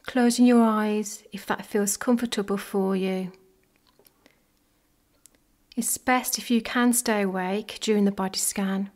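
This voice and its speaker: A woman leading a guided body scan meditation in a soft, gentle, measured way. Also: medium pitch. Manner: gentle, measured, unhurried phrasing with pauses.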